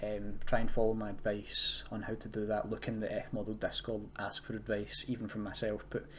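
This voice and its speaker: A young man with a fairly low voice walks through a screen-recorded tutorial. He is informal and hesitant, correcting himself as he explains. There is noticeable noise and keyboard typing behind him. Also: monotone, a little fast. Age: young adult. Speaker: tutorial presenter, university student. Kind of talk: casual screen-recorded coding tutorial, unscripted. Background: computer keyboard typing and noise.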